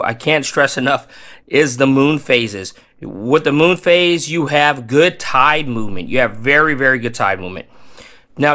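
A man in his thirties giving casual, energetic fishing tips to camera in a small home room, stressing points by repeating them. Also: medium pitch. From Texas Gulf Coast. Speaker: fishing channel host.

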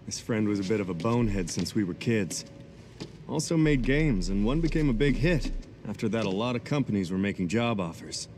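An actor playing a polite, chatty young adventurer in a video game cutscene, earnest and conversational. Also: male voice, lowish voice, lively intonation, normal speed, darkish tone, a little hiss or hum, lightly acted. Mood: wistful, chatty.

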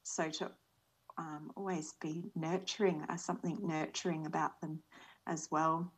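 An Australian woman in her forties speaks over a video call, her voice sitting a little low. She talks earnestly and reflectively, softly and without hurry. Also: level tone, a little slow, husky. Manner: earnest, thoughtful, soft-spoken. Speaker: panel guest. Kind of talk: reflective panel talk. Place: small indoor room. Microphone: video call webcam.

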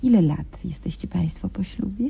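A woman's voice: fairly low pitch, moderate pace, vocal fry, a crowd in the background. An actress playing an officious female radio interviewer in a satirical sketch, formal, gushing and full of official phrases. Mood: ceremonious, gushing. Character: officious, ceremonious female radio interviewer visiting a married couple.

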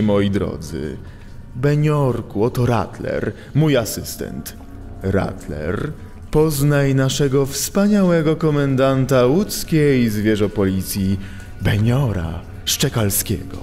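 An actor playing a suave, menacing cat thief in a children's audio drama, theatrical, smug and drawn-out, in an echoing tunnel. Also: male voice, medium pitch, gravelly, a little mellow, heavily acted. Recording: long echo of a sewer tunnel.

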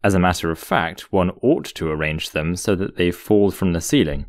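A man's voice: low voice, normal speed, no room sound, close to an everyday voice. A man playing a worldly, breezy young man-about-town in an audio play, with dry irony and playful bossiness. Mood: breezy, bossy. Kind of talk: audio stage play acting.

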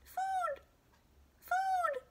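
A woman voicing a tiny, hungry baby gosling in a storybook, with squeaky, insistent peeps. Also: extremely slow, background noise, full character voice. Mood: insistent, needy. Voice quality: peeping, squeaky.

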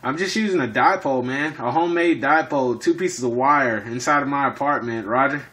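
A man with a medium-pitched voice talks as a hobby CB radio operator indoors. He chats casually, uses radio jargon and tends to repeat himself. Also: a little fast, noisy background. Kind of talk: casual two-way radio chat. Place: indoors in an apartment.

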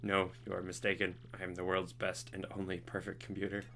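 Flat robotic game computer, deadpan, male voice, bright timbre, low pitch. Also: brisk, vocal fry, a little hiss or hum, exaggerated voice. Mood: earnest. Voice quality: flat monotone. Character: earnest, naive learning computer, male, monotone.

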